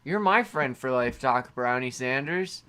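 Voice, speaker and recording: A man playing a parody TV science educator in improvised comedy, rambling and tipsy, halting and repeating himself. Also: mid-range voice, animated, sharp tone, smooth. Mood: tipsy, sentimental. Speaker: comedy improv performer answering chat questions.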